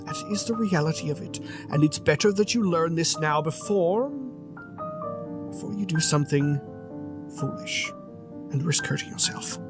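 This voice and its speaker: Grim monster voiced by an actor, audio drama, slow stern delivery, mid pitch, loud music underneath. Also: natural voice. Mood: grim, resigned.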